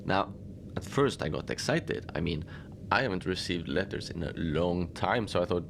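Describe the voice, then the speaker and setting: A man playing a chatty, wry manager of an Antarctic research facility in an audio drama, talking in a rambling, deadpan way with hesitant asides. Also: low-pitched, normal speed, vocal fry. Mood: bemused, chatty. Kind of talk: conversational monologue, log-style audio drama. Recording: noisy background ambience.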